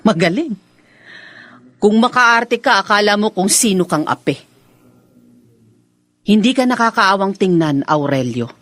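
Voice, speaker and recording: Defiant, scornful wife, widely swinging voice. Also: female voice, medium pitch, animated, slow, pauses often, fairly bright tone, no echo. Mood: mocking. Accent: Filipino. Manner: melodramatic, cool, mocking. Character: defiant, scornful second wife accused of infidelity. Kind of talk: Filipino radio drama acting.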